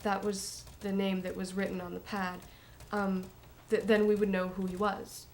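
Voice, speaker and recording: An actress as a confiding woman with slight creak. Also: medium pitch, little pitch movement, normal speed, a little mellow, a little hiss or hum, close to an everyday voice. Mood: matter-of-fact. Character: reflective woman recounting meeting her secret half-brother. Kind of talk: naturalistic documentary-interview style acting.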